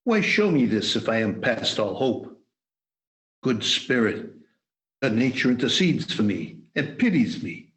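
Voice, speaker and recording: A repentant old miser pleads in slow, drawn-out phrases , as a man acts him out over a video call. The voice sits at an ordinary middle pitch and never hurries. It has the earnest feel of an amateur radio play. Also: sing-song, a bit bright, poor audio. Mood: pleading.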